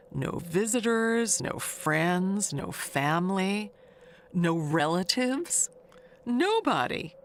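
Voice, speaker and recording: Nosy older neighbor woman, played by an actress, audio mystery monologue. Unhurried, swooping delivery, confiding and sly. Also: medium pitch, fairly bright tone, a little hiss or hum, exaggerated voice. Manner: gossipy, confiding, halting with pauses.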